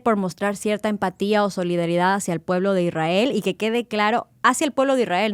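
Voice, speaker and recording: A woman hosting an interview podcast, speaking with emphatic, expressive delivery. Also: mid-range voice, clear, resonant tone, no room sound. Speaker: podcast host. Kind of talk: interview with scripted intro.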